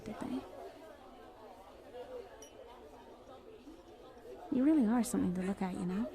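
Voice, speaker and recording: Woman playing a flirty, creaky-voiced villainess. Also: medium pitch, dark tone. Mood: intimate, flirty. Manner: teasing, cajoling, conversational with hesitant stammers. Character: flirty, smug, lovestruck female villain pleading for a chance. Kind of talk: ASMR roleplay, addressing the listener as love interest.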